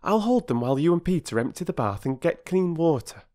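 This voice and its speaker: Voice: medium pitch, big pitch swings, brisk, warm tone, voice pushed up, close to an everyday voice. A narrator voicing an eager, chirpy young girl in a children's storybook.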